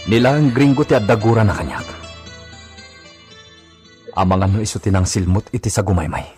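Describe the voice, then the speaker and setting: An actor playing an agitated village man with a husky voice and an Ilocano accent in a comedy radio drama. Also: lowish voice, animated, fairly quick, a little mellow, natural voice. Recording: music under the voice.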